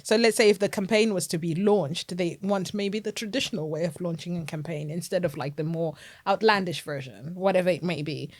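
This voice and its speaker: A woman with a Zimbabwean accent co-hosting a podcast, chatting casually, emphatic and quick to laugh. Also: on the low side. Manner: animated, emphatic, laughs often. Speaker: podcast co-host. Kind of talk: casual chat.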